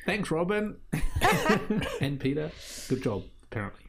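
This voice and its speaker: A man's voice: on the high side, wildly swooping pitch, measured pace, background noise. A man with a New Zealand accent hosting a podcast in casual chat, joking and reading listener emails aloud. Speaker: podcast host.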